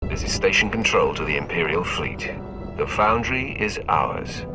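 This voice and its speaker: Very deep, imperious dark warrior. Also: male voice, animated, unhurried, music in the background. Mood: commanding. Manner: commanding, disdainful. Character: imperious, scornful young Sith warrior man. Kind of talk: video game voice acting.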